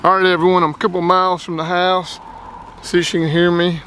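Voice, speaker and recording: A man's voice: high voice, level tone, fairly quick, fairly bright tone, slight background noise. A man with a plain-spoken, folksy way of talking, giving a casual hands-on gear review outdoors. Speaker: product reviewer.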